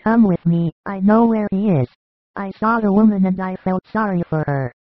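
Reader doing a soft kind shepherd, tinny phone-like sound, dry. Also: mid-range voice, normal speed, no echo, lightly acted. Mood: tender. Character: kind-hearted male shepherd, gentle. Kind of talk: dramatized story reading.

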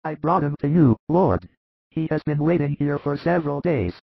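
Man putting on a gravelly, doting fisherman father. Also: a little high, lively intonation, moderate pace, no echo, dull audio, pitched above the performer's own voice, full character voice. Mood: earnest. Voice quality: gravelly, husky. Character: proud, doting, rough fisherman father and disciple. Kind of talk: dramatized scripture story reading.

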